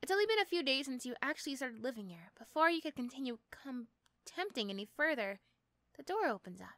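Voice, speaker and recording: A woman's voice: high voice, big pitch swings, quick pace, fairly bright tone, no echo, studio quality. A woman narrating a scripted second-person story for an ASMR roleplay.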